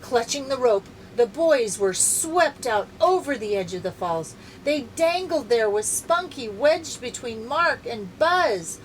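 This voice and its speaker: An older woman, a grandmother, reading a children's story aloud in an animated, dramatic way. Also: fairly high pitch, takes it slowly, hardly any pauses, slight background noise, poor audio.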